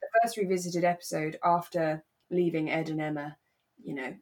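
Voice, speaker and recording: Chatty woman with a slightly low voice. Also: fairly even intonation, moderate pace. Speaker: podcast co-host. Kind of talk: casual conversational podcast chat.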